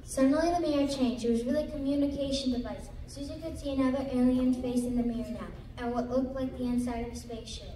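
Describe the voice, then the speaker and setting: A woman's voice: high-pitched, fairly even intonation, fast talker, few pauses, dark tone. A female student narrator reading a scripted story aloud in a reader's theater performance. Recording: echoey room, distant mic, background noise.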